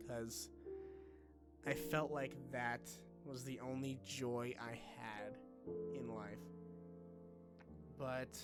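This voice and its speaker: A young man talking informally to his subscribers in a personal vlog, halting and rambling in a colloquial way. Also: medium pitch. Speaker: online video creator.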